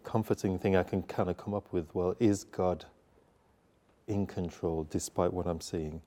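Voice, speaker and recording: Low, mellow man thinking aloud. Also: fairly even intonation, measured pace. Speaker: panel guest. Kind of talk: informal religious discussion.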